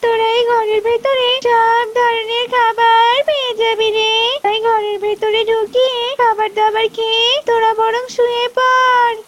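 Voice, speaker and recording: A woman voicing a kindly female cartoon ghost who offers shelter, speaking in a drawn-out, commanding way. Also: extremely high pitch, flat delivery, very noisy, pitched above the performer's own voice. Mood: kindly, urging.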